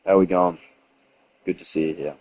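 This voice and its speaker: A young man with a hoarse, sick-sounding voice gives rambling, swearing commentary as he streams a game and chats with viewers. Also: low-pitched, steady tone, normal speed, darkish tone, a little hiss or hum. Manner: rambling, swearing, frequent restarts. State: hoarse from a cold. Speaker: gaming streamer. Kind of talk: casual livestream gameplay commentary.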